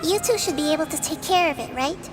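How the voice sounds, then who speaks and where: A woman voicing a gentle, wise, childlike nature goddess in a video game, soft and measured. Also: sits high, lightly acted. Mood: calm explaining. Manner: measured, explanatory, speaks in metaphors. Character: Nahida, childlike female nature deity, gentle, wise, thoughtful. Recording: game music behind.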